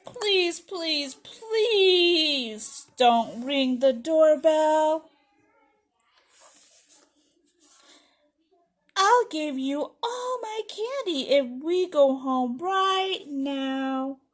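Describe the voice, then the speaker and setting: A woman voicing a timid little trick-or-treater from a storybook, pleading in a dramatic, drawn-out way. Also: sits high, lively intonation, pitched above the performer's own voice, heavily acted. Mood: frightened pleading.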